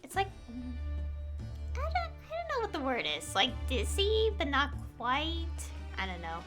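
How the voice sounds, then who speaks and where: A woman giving casual live gameplay commentary as a streamer, thinking aloud in a halting way. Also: high-pitched, huge pitch swings, a bit bright. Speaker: game streamer. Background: game music.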